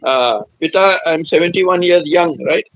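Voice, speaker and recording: Older man, seventies, live-stream host, slow, slightly high, dry close sound, hums between chats. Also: dry recording. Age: 70s. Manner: gentle, grandfatherly, repeats himself. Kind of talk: casual live-stream chat.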